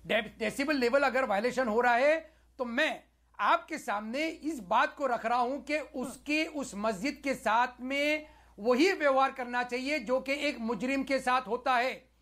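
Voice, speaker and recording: A man with a fairly high-pitched voice speaks as a guest on a news debate panel. He argues in a measured, explanatory way, dropping in English words. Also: fairly bright tone. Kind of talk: televised debate argument.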